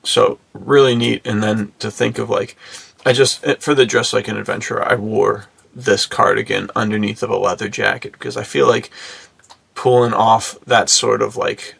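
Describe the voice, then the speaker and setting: A man's voice: lowish voice, fairly even intonation, moderate pace, creaky, fairly bright tone, breathy edge. A man co-hosting a podcast in casual, slangy, bro-ish chat with an enthusiastic manner. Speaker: podcast co-host. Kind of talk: casual podcast chat about film and menswear.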